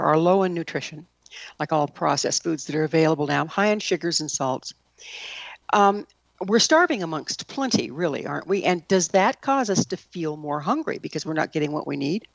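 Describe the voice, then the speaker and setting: A woman's voice: fairly low pitch, sing-song, moderate pace, vocal fry, dry recording, dull audio. A middle-aged woman interviewing in a conversational, reflective way, turning her points into questions.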